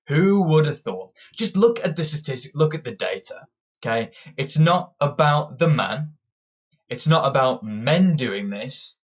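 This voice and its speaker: Combative young man ranting. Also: a little high. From Britain. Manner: combative, ranting, frequent restarts. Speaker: political commentator. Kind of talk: opinionated monologue.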